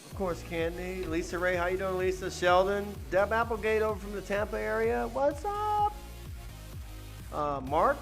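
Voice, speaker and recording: A man's voice: high voice, big pitch swings, soft background music. A chatty, upbeat man, a guest on a livestream, greeting viewers and talking about rum. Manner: chatty, upbeat, quick shout-outs. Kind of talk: casual chat, greeting viewers.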